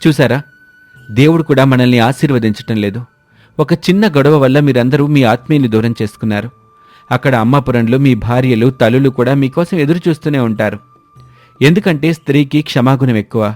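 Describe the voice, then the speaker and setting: A man voices an educated young man in a moral tale. He reasons earnestly and preachily at medium pitch, with light music behind him. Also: a little fast, darkish tone, natural voice. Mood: earnest pleading. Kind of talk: dramatised moral-story dialogue.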